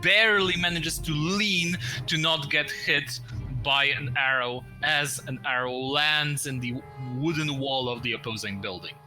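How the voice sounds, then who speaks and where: A man narrating combat as game master of a tabletop game, in descriptive but halting phrases. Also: on the high side, fairly even intonation, bright, forceful tone. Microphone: voice-chat microphone. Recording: music behind, online voice call. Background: music.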